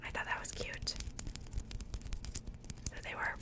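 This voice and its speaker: A woman speaking in a breathy, unvoiced whisper, chatting softly and informally in the style of an ASMR unboxing. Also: measured pace. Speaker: ASMR video creator.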